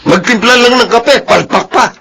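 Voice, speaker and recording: A man's voice: very high voice, moderate pace, gravelly, pushed and bright, breathy edge, exaggerated voice. A Filipino actor playing an arrogant, hot-tempered male office supervisor in a radio drama, with a haughty, domineering manner. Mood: irritated, scolding. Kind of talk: radio drama acting in Tagalog.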